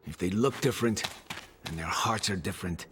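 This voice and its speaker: A man's voice: low-pitched, fairly quick, noisy background, close to an everyday voice. A man playing a hardened, cynical mercenary swordsman in a video game, blunt and cold. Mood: cold defiance. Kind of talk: dramatic video game voice acting. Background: faint music.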